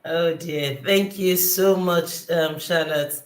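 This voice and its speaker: A woman's voice: fairly low pitch, steady tone, slow. A woman from Nigeria hosts a live online chat over a video call. She sounds upbeat and welcoming. Her voice carries a roomy echo. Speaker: host.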